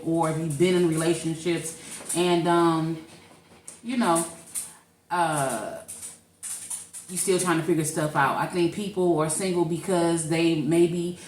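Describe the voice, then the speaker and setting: A woman's voice: on the low side, fairly even intonation, moderate pace. A woman in her forties with a St. Louis accent, co-hosting a podcast in a chatty, rambling way. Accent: St. Louis, Missouri. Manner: chatty, rambling, laughs easily. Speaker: podcast co-host. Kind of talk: casual podcast chat. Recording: echoey room, off-mic, faint noise.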